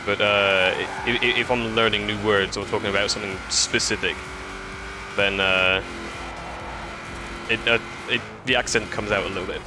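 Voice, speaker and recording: A man's voice: fairly low pitch, fairly even intonation, normal speed, long pauses. A man from Scotland, chatting casually with viewers while live streaming a racing game, often repeating words as he restarts his sentences. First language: English. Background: music and race car sounds.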